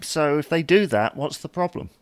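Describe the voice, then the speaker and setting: A man's voice: fairly high pitch, expressive, moderate pace, clean tone, no echo, natural voice. A British man playing an easygoing, slightly hapless husband, mildly defensive, in an audio sitcom. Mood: querying. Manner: mild, querying, gently defensive. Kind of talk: audio sitcom acting, domestic dialogue.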